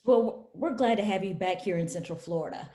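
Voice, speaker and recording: A woman's voice: mid-range voice, brisk, dark tone. A middle-aged woman hosting a webinar interview, welcoming and polished. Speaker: host. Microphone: webcam on a video call. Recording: echoey room over a webcam.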